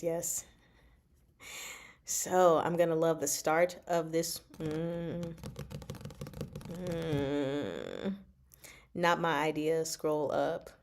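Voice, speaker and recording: A woman hosting a casual book discussion, chatty and expressive, often pausing mid-thought. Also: low voice. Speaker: book discussion vlog host. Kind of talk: casual live book chat.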